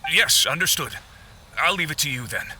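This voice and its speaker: A man's voice: fairly high pitch, lively intonation, moderate pace, bright, forceful tone, a little hiss or hum. A man playing an earnest, polite but troubled business owner in a video game, asking inquisitive questions. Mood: resigned. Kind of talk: video game cutscene acting.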